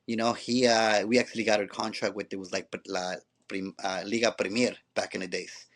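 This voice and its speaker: Fast-talking man recounting his playing days. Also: on the low side, level tone, a bit bright. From Mexico. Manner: rambling with many restarts and "you know". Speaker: former pro player, youth coach. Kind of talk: conversational storytelling.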